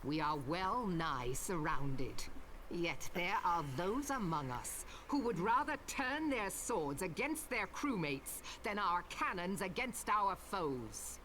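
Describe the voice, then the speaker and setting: An actress playing a stern, commanding admiral in a video game, delivering grave nautical oratory in archaic English. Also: female voice, lowish voice, big pitch swings, background noise. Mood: grave, warning. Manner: grave, deliberate, declamatory. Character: stern commanding female admiral rallying her pirate crew.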